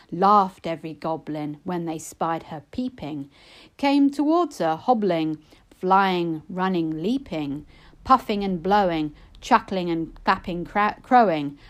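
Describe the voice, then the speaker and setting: A woman reading narrative poetry aloud, with measured, expressive and dramatic phrasing. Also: mid-range voice. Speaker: poetry reader.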